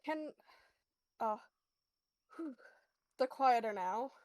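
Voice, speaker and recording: A woman playing an earnest, grieving young man in a tabletop game, halting and repeating words as tears are held back. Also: on the high side, animated, darkish tone, dry recording. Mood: tearful, hesitant.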